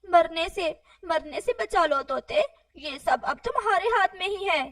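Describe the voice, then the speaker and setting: Woman voicing a high-pitched sly cartoon crow. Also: lively intonation, a little slow, fairly bright tone, no echo, recorded from a distance, exaggerated voice. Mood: urgent pleading. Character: sly lying male crow, a greedy trickster. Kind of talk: animated children's moral story dialogue.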